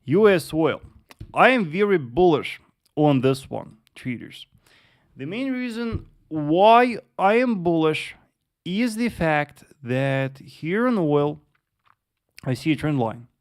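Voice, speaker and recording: Gravelly man running a live trading stream. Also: on the high side, lively intonation, slow pace. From Russia. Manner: repeats words, addresses viewers as traders, many yes and uh fillers. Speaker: trader hosting a live stream. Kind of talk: live market analysis with chat Q&A.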